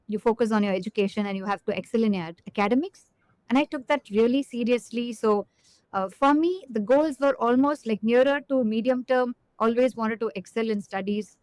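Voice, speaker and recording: A woman's voice: fairly high pitch, clear, resonant tone, dry recording, rough recording. Earnest middle-aged woman, dry close sound. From Chennai, India. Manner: earnest, many fillers and restarts. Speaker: guest executive. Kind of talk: reflective interview answer.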